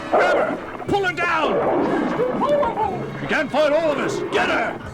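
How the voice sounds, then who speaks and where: A frantic villager in a seventies monster record. His shouting is high and swoops wildly, with loud music behind it. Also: lively intonation, a little slow. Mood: frantic, aggressive. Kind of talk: 1970s audio drama acting.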